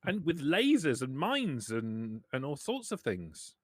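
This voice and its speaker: A man's voice: on the high side, huge pitch swings, measured pace, no room sound, studio quality, pitched above the performer's own voice, exaggerated voice. A man voicing a friendly, effusive male caravan trader in a tabletop roleplaying game. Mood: delighted.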